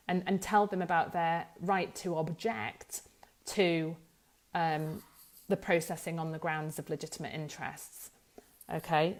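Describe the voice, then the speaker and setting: A woman speaks as an expert walking listeners through a practical explainer. Her voice sits a little on the low side. She talks informally and hesitantly, working out her phrasing aloud and correcting herself along the way. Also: a little mellow. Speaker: data protection law expert presenting an explainer. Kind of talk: informal explanatory talk to camera.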